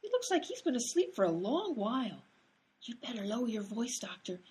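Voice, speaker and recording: A woman's voice: a little high, big pitch swings, brisk, darkish tone, breathy edge, exaggerated voice. A woman playing a ditzy, literal-minded hospital nurse with a naive manner in an absurd radio comedy sketch. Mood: ditzy, oblivious. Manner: ditzy, naive, swooping delivery.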